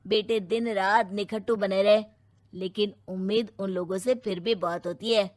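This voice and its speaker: A woman playing an outspoken, opinionated young daughter in an animated moral story, arguing emphatically. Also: medium pitch, normal speed, no echo, close to an everyday voice. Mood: argumentative, earnest. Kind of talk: Hindi moral-story cartoon dub.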